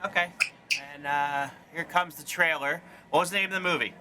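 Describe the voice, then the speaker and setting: A man's voice: a little high, fairly even intonation, brisk. A man interviewing a filmmaker, making short offhand remarks. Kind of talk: casual interview chat. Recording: loud background noise.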